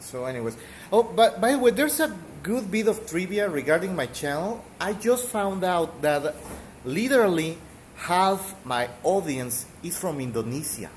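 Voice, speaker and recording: A man's voice: sits high, very animated, moderate pace, slight background noise, low-quality recording. A man from Mexico with a thick Mexican accent, rambling through casual game commentary with fillers and the odd cough. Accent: Mexican Spanish. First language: Spanish. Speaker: gaming streamer.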